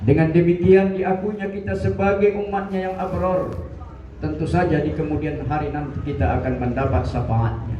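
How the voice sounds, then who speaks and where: A man in his sixties giving a Friday mosque sermon, measured and building in emphasis. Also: on the high side, fairly even intonation. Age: 60s. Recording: echoey, distant, dull mosque recording with light noise.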